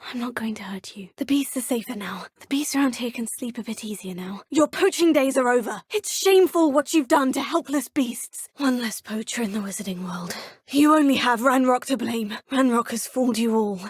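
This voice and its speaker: A plucky young wizarding student. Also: female voice, fairly high pitch, lively intonation, normal speed, slightly rough, dry recording. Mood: composed. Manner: curious, composed, quietly self-assured. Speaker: player character voice in a video game. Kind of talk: recorded game voice lines. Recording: dry close studio recording.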